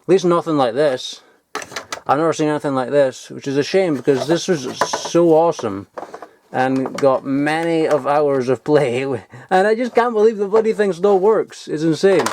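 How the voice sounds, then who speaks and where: A man's voice: fairly high pitch, normal speed, a little mellow, slight background noise. A young man giving a casual, sweary, enthusiastic show-and-tell in an informal vlogging style. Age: young adult. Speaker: vlogger showing old toys. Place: loft at home, indoors.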